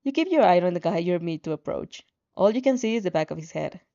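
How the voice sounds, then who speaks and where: Animated woman storyteller, slightly dull recording. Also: fairly low pitch, fast talker. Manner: stumbles over words and repeats them. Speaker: fan fiction reader. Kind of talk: expressive amateur story narration, second person.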